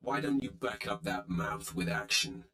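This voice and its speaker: An arrogant dark-side warrior from a sci-fi game. His deep voice rings with echo, forceful and curt, swinging into mockery and threat. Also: very animated, measured pace, heavily acted. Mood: threatening. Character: brutal, arrogant Sith warrior, curt and mocking. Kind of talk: video game voice acting. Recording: reverberant, echoing voice.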